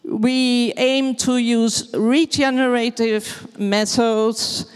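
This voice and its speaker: Dutch woman speaker, slow and halting, clear voice, hall echo. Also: fairly high pitch, steady tone. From Netherlands. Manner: hesitant, searching for words in English. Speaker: farmer giving a presentation. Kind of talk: slow halting presentation with many fillers. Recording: long hall reverb.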